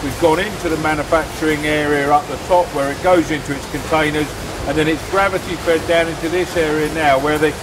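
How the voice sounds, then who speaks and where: A man's voice: a little high, steady tone, a little fast, few pauses, noisy background. A man visiting a factory, agreeing eagerly and summing up each step of the process in casual chat. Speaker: visiting presenter. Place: large factory floor.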